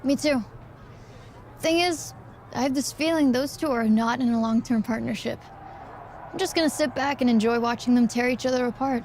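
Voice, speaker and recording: A woman's voice: on the high side, normal speed, long pauses, darkish tone, a little hiss or hum, close to an everyday voice. A woman playing a principled, defiant young wrestler in a video game cutscene, speaking with earnest emphasis. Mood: wry, confident.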